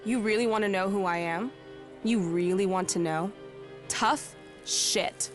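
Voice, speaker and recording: A woman's voice: medium pitch, unhurried, soft background music, natural voice. A woman playing a tough, hostile outlaw in a video game, curt and contemptuous. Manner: curt, contemptuous, foul-mouthed.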